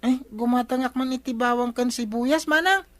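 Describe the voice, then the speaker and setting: A woman's voice: a little high, moderate pace, fairly bright tone, no room sound, close to an everyday voice. A woman playing an inquisitive, cheeky young grandchild with an Ilocano accent in a radio comedy drama, chatty and questioning. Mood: nosy, accusing.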